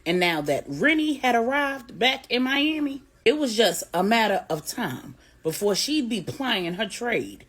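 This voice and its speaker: A woman narrating a dramatic story for adults in a wry, deliberate, emphatic way. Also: on the high side, sing-song, fairly bright tone, breathy edge.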